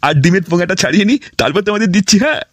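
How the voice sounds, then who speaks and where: A man's voice: high voice, animated, racing, background noise. A man playing a brash, crude street-food seller in a comic cartoon, hawking with showy patter. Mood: cheeky. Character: brash cheeky male street-food hawker, crude salesman.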